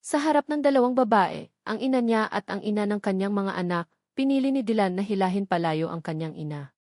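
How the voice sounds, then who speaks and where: A woman's voice: mid-range voice, animated, brisk, a little mellow, dry recording, clean studio sound. A woman reading a scripted romantic drama as a storyteller, in an earnest, sentimental manner. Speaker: storyteller and channel narrator.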